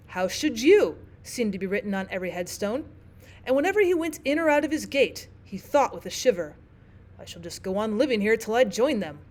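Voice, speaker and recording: A woman teacher narrating a classic novel aloud in a steady, careful reading. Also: mid-range voice. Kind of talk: audiobook narration.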